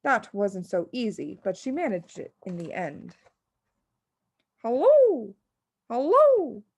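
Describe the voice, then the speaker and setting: A woman narrates a children's story in an expressive scripted style. Her pitch is medium and moves around a lot as she reads. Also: moderate pace, slightly rough, dry recording. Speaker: audiobook reader.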